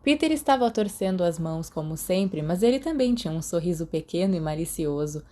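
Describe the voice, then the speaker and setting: A Brazilian woman narrates fiction in a fairly low, soft-edged and mellow voice. She reads from a script in the manner of an audiobook. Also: lively intonation, a little fast. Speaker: podfic narrator.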